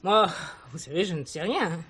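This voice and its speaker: An actress playing a talkative, kindly village shopkeeper who adores cats and gossips in a confiding way in a television play. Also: female voice, fairly low pitch, lively intonation, normal speed, a bit bright, breathy edge. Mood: guarded, insinuating. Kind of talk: 1970s French television play dialogue.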